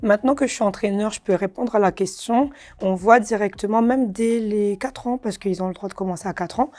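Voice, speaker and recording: A woman, answering interview questions casually and hesitantly, with many fillers and soft laughs. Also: medium pitch, fairly quick, soft background music. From Réunion. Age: 30s. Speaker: karate champion guest.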